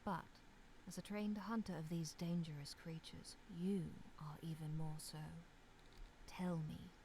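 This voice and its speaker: A woman playing a mysterious, solemn narrator of a dark fantasy visual novel, with slow, hushed, measured storytelling. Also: on the low side, steady tone, a little hiss or hum, low-quality recording. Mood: mysterious, welcoming. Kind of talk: scripted second-person narration for a visual novel.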